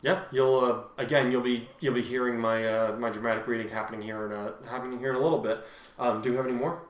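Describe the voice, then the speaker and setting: A man's voice: medium pitch, level tone, quick pace, echoey room. A man who hosts and narrates, moving between casual chat and dramatic story reading.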